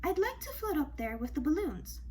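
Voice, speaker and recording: A woman voicing an eager, bold young princess in a storybook, with emphatic and expressive delivery. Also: high voice, normal speed, slight background noise. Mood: eager, firm.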